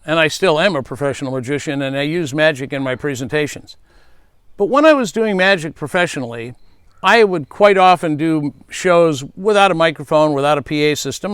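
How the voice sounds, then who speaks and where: A man's voice: fairly high pitch, fairly bright tone, clear, resonant tone, no echo, studio quality. A middle-aged man, a safety speaker, giving earnest advice and telling stories from his past. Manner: earnest, advising, storytelling. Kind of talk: speaking tip to camera.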